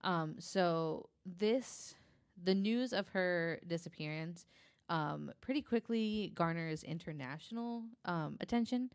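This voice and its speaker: A woman's voice: fairly low pitch, slow pace, smooth, no echo, clean studio sound. A woman telling a story casually and informally as a guest on a podcast.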